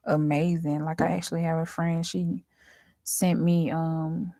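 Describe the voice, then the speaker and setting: Low-voiced woman chatting casually, close and dry. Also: level tone, measured pace, warm tone, no room sound. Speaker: host, dream interpreter. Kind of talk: casual chat to viewers.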